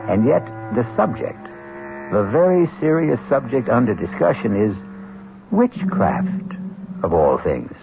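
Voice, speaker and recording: A man narrating a radio drama in a dry, wry, deliberate manner. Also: medium pitch, very animated, gravelly. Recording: tinny, hissy old radio broadcast.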